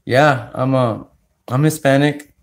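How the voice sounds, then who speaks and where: A man from California hosting a casual livestream, talking slowly with many fillers as he greets viewers. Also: mid-range voice, steady tone. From California, United States. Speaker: livestream host. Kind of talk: casual livestream chat while sorting coins.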